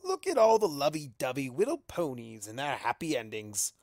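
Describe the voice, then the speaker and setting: Mischievous cartoon chaos villain acted by a man: mocking, showy drawl, very animated, dry recording. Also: mid-range voice, moderate pace, no echo. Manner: sardonic, drawling, theatrical. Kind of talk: cartoon fan fiction character reading.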